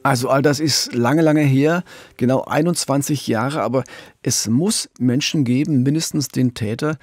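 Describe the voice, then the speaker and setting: A man's voice: medium pitch, normal speed, no echo, studio quality. A man, talking earnestly and explaining things as co-host of a true-crime podcast.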